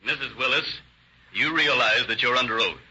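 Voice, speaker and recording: A man's voice: medium pitch, moderate pace, lightly acted. An actor playing a stern prosecutor, formal and accusatory as he cross-examines a witness in an old radio drama. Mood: formal, probing. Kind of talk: 1940s radio acting. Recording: muffled, hissy old radio recording.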